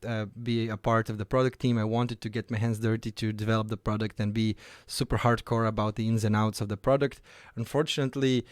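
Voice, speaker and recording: A man in his thirties from Croatia speaks with a Croatian accent in an informal founder's talk to camera. His voice sits at an average male pitch, and the recording is dry with very little room sound. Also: dry recording. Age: 30s. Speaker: company founder and CEO.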